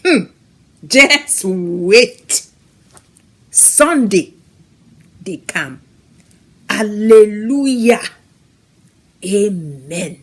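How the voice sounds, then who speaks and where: A woman's voice: mid-range voice, lively intonation, very slow, pauses often. A woman with a West African Krio accent delivers a dramatic devotional monologue, speaking emphatically and addressing listeners directly with rhetorical questions. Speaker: devotional reciter.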